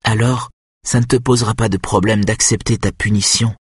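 A man's voice: on the low side, steady tone, normal speed, breathy edge, no room sound, clean studio sound, voice pushed up. A man voicing a cruel, weary, domineering woman who demands family obedience, with a stern, accusing manner in an audiobook. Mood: cold, calm. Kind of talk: audiobook character acting.

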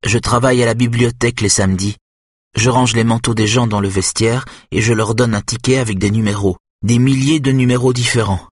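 A man's voice: medium pitch, steady tone, fairly quick, husky, dry recording, pitched above the performer's own voice, close to an everyday voice. A man voicing a big childlike young man, anxious and innocent, who talks in a simple, eager way close to tears.

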